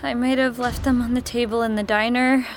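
A woman's voice: on the high side, little pitch movement, a little fast, slight background noise, lightly acted. An actress playing an anxious, urgent young woman in a horror audio drama. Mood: tense. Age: young adult.

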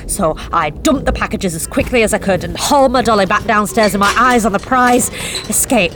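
A woman's voice: mid-range voice, natural voice. An actress playing a nervous young delivery driver, telling her story in a tense, confessional first-person monologue with blunt swearing. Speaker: narrating actor.

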